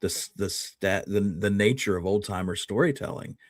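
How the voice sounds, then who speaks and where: A man's voice: lowish voice, normal speed, no echo, clean studio sound. A man hosting a history show, talking casually and often restarting his phrases as he makes a point.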